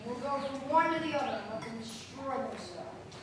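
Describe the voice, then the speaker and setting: Echoey, distant, calculating alien commander played by a girlish-pitched woman. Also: lively intonation, normal speed. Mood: cool, instructing. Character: calm, calculating alien commander, coolly instructing an underling. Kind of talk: stage radio play acting. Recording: echoey hall, distant mic, noisy.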